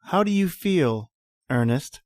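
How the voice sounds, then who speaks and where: An actor playing an obsessed inventor in an old time radio horror drama, coaxing and insistent with an eerie cheer. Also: male voice, fairly high pitch, lively intonation, slow, darkish tone, dry recording, studio quality. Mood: sly.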